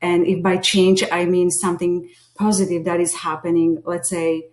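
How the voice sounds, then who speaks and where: A woman's voice: lowish voice, level tone, normal speed, a little mellow. A middle-aged woman from Slovakia with a Slovak accent, giving an earnest, hesitant introduction of herself to a class. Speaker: teacher lead and behavior analyst. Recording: echoey room.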